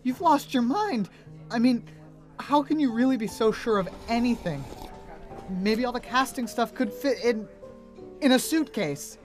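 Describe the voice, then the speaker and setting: A man's voice: very high-pitched, expressive, a little slow, faint music behind. An actor playing a mafia hitman who poses as a rookie police officer in a radio drama, slyly calculating. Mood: nervous, incredulous. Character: mafia hitman posing as nervous rookie cop, slyly menacing.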